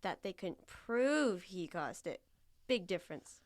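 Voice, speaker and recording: A woman's voice: lowish voice, animated, measured pace, no room sound, studio quality. An actress playing a suspicious, insistent woman in a radio drama, speaking conversationally with pressing emphasis. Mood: conspiratorial. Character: suspicious, insistent woman digging into a murder case.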